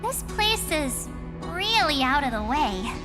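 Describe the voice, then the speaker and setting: A woman's voice: slow, full character voice. An actress playing a curious, eager young female traveler in a game. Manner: high, lively and eager. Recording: game cutscene with music underneath.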